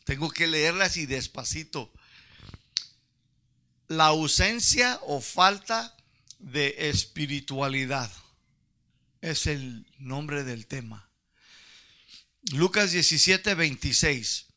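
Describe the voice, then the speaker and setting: A man's voice: fairly high pitch, slow, long pauses, sharp tone, clear, resonant tone. A Chicano man with a Chicano Spanish accent preaching to his church, folksy and asking the congregation questions. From Mexican-American. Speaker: pastor. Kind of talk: sermon.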